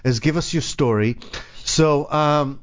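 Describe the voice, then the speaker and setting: A man's voice: fairly high pitch, takes it slowly. A middle-aged man hosts a live radio talk show from a studio, talking in a loose, rambling way. He fills his sentences with "you know" and restarts. The audio is a little dull and muffled. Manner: rambling, hesitant, many fillers and restarts. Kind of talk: casual on-air banter.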